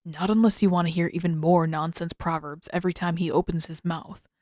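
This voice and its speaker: A woman's voice: fairly low pitch, steady tone, fairly quick, a little mellow, no echo, lightly acted. A woman voicing a guarded, grumpy teenage prince in a fantasy story, terse and dry in manner. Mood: guarded, awkward.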